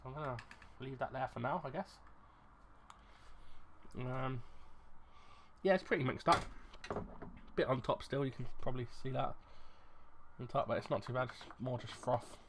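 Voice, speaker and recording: A man's voice: medium pitch, moderate pace, long pauses, slight background noise. A man chatting casually in his own vlog, talking in a rambling, self-deprecating way with many restarts as he thinks aloud. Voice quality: plain, unremarkable voice. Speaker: YouTuber reviewing ration packs. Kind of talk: casual unscripted vlog chat.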